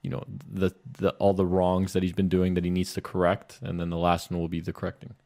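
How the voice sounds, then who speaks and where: A man with a low-pitched voice talks casually as a podcast co-host. He explains things in a loose, rambling way, as if thinking aloud among friends. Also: monotone, quick pace, dark tone. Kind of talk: casual chat about video game movies.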